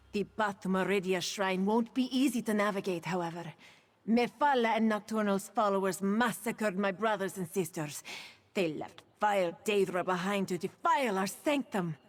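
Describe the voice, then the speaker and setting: A woman playing a guarded, solemn devotee of a goddess in a video game, speaking in a formal, measured, earnest way. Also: medium pitch, close to an everyday voice. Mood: grave. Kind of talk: video game character dialogue.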